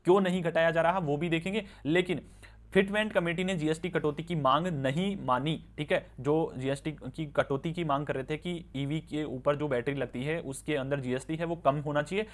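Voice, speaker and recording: A man with a fairly high-pitched voice presents financial news as commentary. He explains each point informally, step by step, and keeps checking in with the listener through short repeated tags. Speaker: stock market news presenter. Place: voice-over on slides with handwritten notes.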